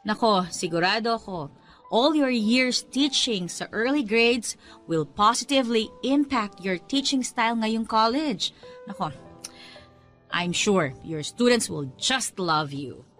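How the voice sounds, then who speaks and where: A woman playing a warm, encouraging school owner in a radio drama, speaking in an affectionate, effusive, teacherly way. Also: fairly high pitch, expressive, takes it slowly, faint music behind. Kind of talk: radio drama dialogue in Taglish.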